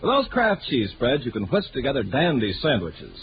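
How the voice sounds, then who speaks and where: A man's voice: mid-range voice, wildly swooping pitch, normal speed. An American man reading a scripted 1940s radio advertisement as the announcer. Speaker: commercial announcer. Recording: muffled, very noisy 1940s radio recording.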